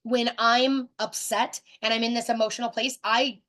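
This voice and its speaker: A woman in her thirties hosting a webinar, talking in a direct, energetic way with lots of fillers and restarts. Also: fairly high pitch, normal speed. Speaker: webinar host. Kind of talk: casual teaching talk.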